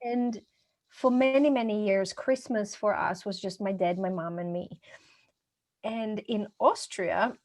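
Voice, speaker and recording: A woman's voice: medium pitch, measured pace, darkish tone. A middle-aged woman from Croatia, chatting casually as a podcast guest and telling about her life. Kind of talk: casual conversational storytelling.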